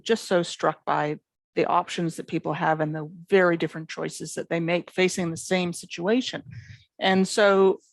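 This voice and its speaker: A woman's voice: on the low side, vocal fry, dry recording. A middle-aged woman with a Canadian accent, a psychology professor answering interview questions thoughtfully and fluently. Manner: thoughtful, fluent academic explaining with many examples.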